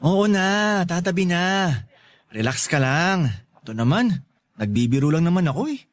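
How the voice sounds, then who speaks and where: Cheeky, swooping high-voiced young loafer played by a man. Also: big pitch swings, moderate pace. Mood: teasing. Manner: teasing, wheedling, boastful. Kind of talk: Tagalog radio drama acting.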